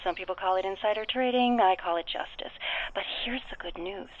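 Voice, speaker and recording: A woman's voice: medium pitch, fairly quick. Sassy, slangy female escort phoning a web show; cynical, telephone-filtered audio drama part. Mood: sardonic, sure. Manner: blunt, slangy, sardonic. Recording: narrow tinny phone line.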